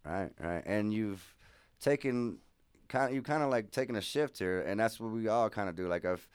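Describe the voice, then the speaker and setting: A man's voice: on the low side, expressive, clean tone, dry recording. A man hosting a casual radio interview, easygoing and encouraging, keeping the talk moving. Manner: easygoing, encouraging, quick backchannels. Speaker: radio host.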